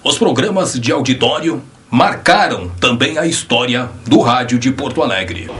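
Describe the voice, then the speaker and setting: A man narrating a documentary in smooth scripted voice-over. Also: mid-range voice, animated, moderate pace, a bit bright, a little hiss or hum.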